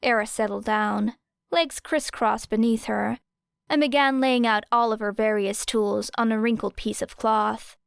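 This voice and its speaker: A woman's voice: fairly high pitch, fairly even intonation, unhurried, creaky, clean tone, dry recording, clean studio sound. A woman narrating a scripted story as an audiobook reader.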